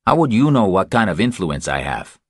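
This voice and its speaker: A man's voice: lowish voice, very animated, fairly quick, slightly rough, dry recording, studio quality, pitched above the performer's own voice, full character voice. A man voicing a sour, defensive male state trooper in an audiobook, curt, stubborn and dismissive.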